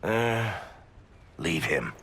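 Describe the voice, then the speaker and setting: Slow, hugely expressive roguish dwarf. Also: male voice, low voice, slightly rough, exaggerated voice. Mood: teasing, fond. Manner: wry, bantering, toast-making. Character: jovial, roguish male dwarf storyteller, gently sarcastic. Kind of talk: dramatic video game dialogue.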